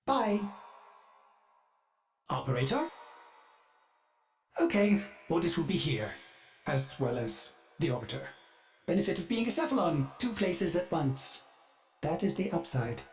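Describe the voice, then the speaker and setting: Video game ship AI, loyal and fussy, formal third-person phrasing, very animated pitch. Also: male voice, unhurried, long pauses, faint music behind. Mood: earnest. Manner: speaks of himself in third person, formal, sing-song pitch swings. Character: ship's AI, fussy, eager, loyal male cephalon. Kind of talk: game voice lines.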